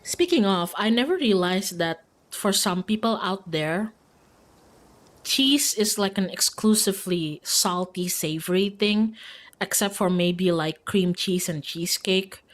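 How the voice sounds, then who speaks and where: A woman's voice: mid-range voice, lively intonation, a little slow. A woman from Indonesia, chatting casually and informally as a livestreamer. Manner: informal, frequent "like", giggly. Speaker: streamer. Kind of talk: casual livestream chat while playing a visual novel.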